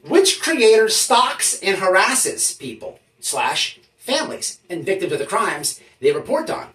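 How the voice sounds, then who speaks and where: A YouTube host giving mock-serious commentary in an emphatic, halting, mock-official way, often checking in with listeners. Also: mid-range voice, a bit bright. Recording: roomy echo, distant from the mic.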